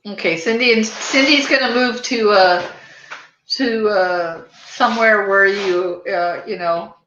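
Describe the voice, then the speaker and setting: Bright-voiced American woman podcaster, roomy lo-fi sound. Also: mid-range voice, slow pace, a little hiss or hum. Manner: chatty, rambling. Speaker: podcast host. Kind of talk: casual chat. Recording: roomy echo, dull lo-fi sound.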